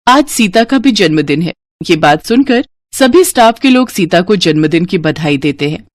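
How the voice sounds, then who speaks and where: A woman's voice: lowish voice, lively intonation, moderate pace, dry recording. A woman reading scripted narration as the narrator of a story.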